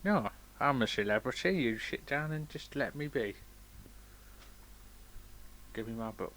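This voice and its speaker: A man playing a grumpy, self-important railway controller in a comedy, argumentative and deadpan, given to digressions. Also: medium pitch, a little slow. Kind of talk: improvised comedy banter and story reading.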